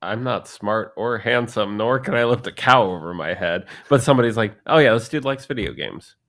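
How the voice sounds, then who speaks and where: A man's voice: mid-range voice, animated, fairly quick, fairly bright tone. A man in his thirties co-hosting a casual podcast chat, rambling and joking, often acting out the people he quotes. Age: 30s. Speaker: podcast co-host.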